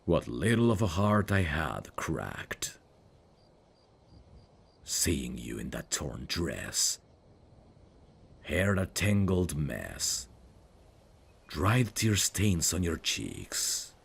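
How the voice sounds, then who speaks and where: A man playing a brooding dark fantasy prince in an intimate ASMR roleplay, tender and lovesick, with a husky, gravelly voice and a hushed, formal delivery. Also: extremely low pitch, sing-song, exaggerated voice. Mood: sorrowful confession. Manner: slow, hushed, formal and halting. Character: brooding evil prince, tender and lovesick toward old friend. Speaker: voice actor.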